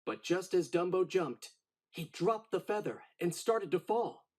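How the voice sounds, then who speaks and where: A man reads a children's story aloud with theatrical expression. His voice sits fairly high and swings widely in pitch, with a slightly husky, breathy edge. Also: unhurried. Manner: animated, dramatic storytelling. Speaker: storybook narrator.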